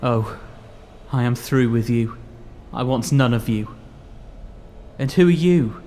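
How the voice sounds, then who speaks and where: An actor playing a proud, lovelorn young poet in an audio drama, earnest and declamatory. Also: male voice, medium pitch, slow, dark tone, slight background noise, natural voice. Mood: defiant, disdainful. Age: young adult. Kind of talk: theatrical audio drama acting.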